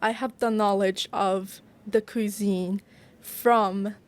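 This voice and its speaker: A woman's voice: medium pitch, steady tone, slow. A young woman, born in Brazil and raised in Japan, talks earnestly as a guest student in a casual interview, with many "like" fillers. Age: young adult. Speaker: guest, culinary arts student.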